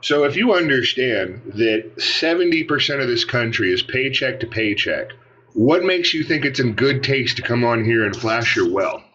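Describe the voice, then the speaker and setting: A man's voice: mid-range voice, lively intonation, normal speed, pushed and bright. A man on an online panel, confronting another speaker and swearing as he argues. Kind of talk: heated panel argument. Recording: dull audio with faint noise.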